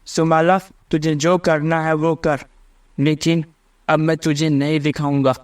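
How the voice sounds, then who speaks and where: A man's voice: fairly high pitch, level tone, measured pace, voice pushed down, close to an everyday voice. A man voicing an earnest, plain-spoken poor schoolboy who studies hard, in a cartoon story. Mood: defiant.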